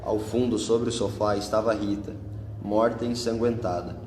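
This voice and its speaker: Brazilian male narrator for radio drama, lowish pitch, reverberant room sound, scripted delivery. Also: little pitch movement, moderate pace, darkish tone, slight background noise. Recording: echoey hall, long reverb, music bed. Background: music.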